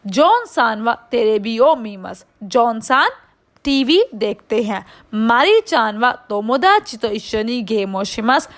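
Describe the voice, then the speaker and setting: A woman's voice: on the high side, sing-song, slow. A woman with an Indian accent teaching an online language lesson, explaining line by line and checking understanding with questions.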